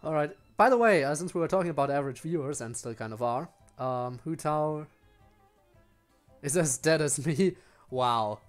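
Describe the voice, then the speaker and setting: A man's voice: a little high, animated, normal speed. A man giving casual livestream commentary as a game streamer, explaining things in a hesitant, rambling way. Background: faint music.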